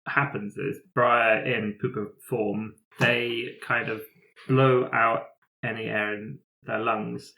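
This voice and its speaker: A man playing a tabletop roleplaying game, describing character actions in a jokey, halting, improvised way. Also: mid-range voice, little pitch movement, vocal fry, pushed and bright. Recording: echoey room.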